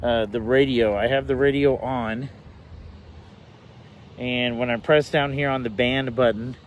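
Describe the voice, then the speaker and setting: Hesitant man over clear background noise. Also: medium pitch, fairly even intonation, a little slow. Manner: hesitant, thinking aloud. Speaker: hobbyist reviewer demonstrating a shortwave radio. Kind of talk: casual hands-on demonstration.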